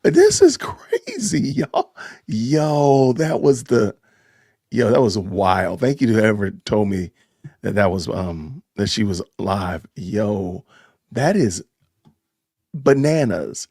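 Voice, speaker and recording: A man hosting a casual livestream chat, excitable and loud in his reactions. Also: lowish voice, takes it slowly, husky, no room sound, clean studio sound. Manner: excitable, exclaims loudly. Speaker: livestream host.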